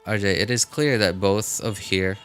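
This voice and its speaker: Low male voice, forceful bright tone, casual gaming livestream commentary, rambling and thinking aloud, music underneath. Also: fairly even intonation, moderate pace, clear, resonant tone. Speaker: game streamer. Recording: music behind the voice.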